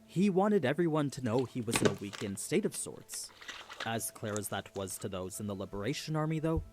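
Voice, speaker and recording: A man's voice: medium pitch, sing-song, brisk, heavy background noise. A man delivering a scripted story recap as a narrator.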